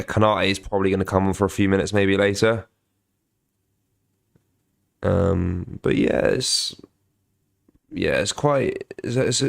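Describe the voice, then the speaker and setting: A young man hosts a casual football watch-along stream, his voice croaky from a cold and rough with fry. He sits very low in pitch. He talks haltingly, full of ums and restarts, as he reads out viewers' comments. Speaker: football channel host.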